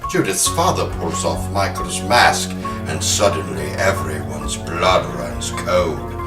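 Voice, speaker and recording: A man's voice: sits low, animated, takes it slowly. A man hosting a horror podcast, moving between talk and dramatic storytelling. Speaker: podcast host. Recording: roomy, music behind.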